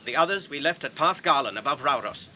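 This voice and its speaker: A polite guarded english hobbit in a radio play, bright voice, measured and articulate, bit of background noise. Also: male voice, fairly high pitch, lively intonation. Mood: guarded, formal. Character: earnest, courteous hobbit traveller, guarded and dignified. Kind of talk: BBC radio drama acting.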